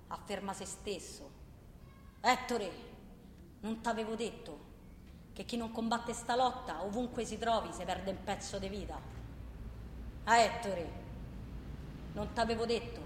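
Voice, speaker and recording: An actress playing a defiant, impassioned protester in a theatrical monologue, declaiming insistently in a Roman Italian accent with emphatic repetition. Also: female voice, mid-range voice, lightly acted. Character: defiant, impassioned woman protester ranting on a train. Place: large reverberant room, far from microphone. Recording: distant, echoey hall with background noise.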